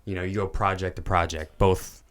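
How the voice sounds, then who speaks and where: Deep-voiced male podcast host. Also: normal speed. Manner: relaxed, rambling questions with many you-knows. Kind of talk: casual podcast interview.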